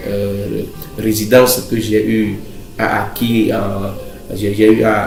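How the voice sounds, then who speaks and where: Casting: male actor as pompous cartoon billionaire groom, low voice, halting boasts, echoey room with music underneath. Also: a little mellow. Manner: hesitant, halting boasting full of pauses. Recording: roomy echo, music underneath.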